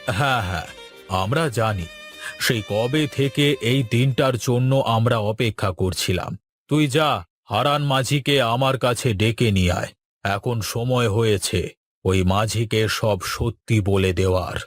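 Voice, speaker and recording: A man playing a wealthy father and master of the house in an animated moral story, earnest and commanding. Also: mid-range voice, a little slow, no echo, soft background music, natural voice.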